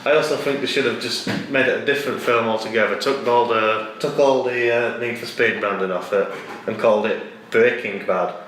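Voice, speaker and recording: A man's voice: mid-range voice, fairly even intonation, fairly quick, few pauses, a bit bright. A man, chatting casually about films in a blunt, opinionated, sweary way. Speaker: co-host. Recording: echoey room, distant mic, faint noise.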